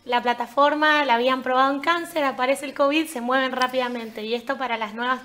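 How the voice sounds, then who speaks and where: A woman's voice: high-pitched, fairly even intonation, fast talker, bright, forceful tone. A woman with an Argentine accent speaking emphatically on a discussion panel, building her points in lists. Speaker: startup representative, panelist.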